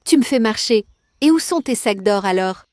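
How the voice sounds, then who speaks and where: A woman playing a bossy, easily exasperated farm mother in a children's cartoon fairy tale, decisive and expressive. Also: fairly high pitch, normal speed, no echo, lightly acted. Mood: hopeful. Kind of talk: children's cartoon storybook acting.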